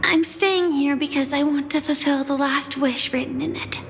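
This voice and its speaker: A woman voicing a shy, gentle young woman in a game cutscene, soft-spoken and apologetic, at times nearly whispering. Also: sits high, fairly even intonation, normal speed, a little hiss or hum. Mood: tender. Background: game soundtrack music.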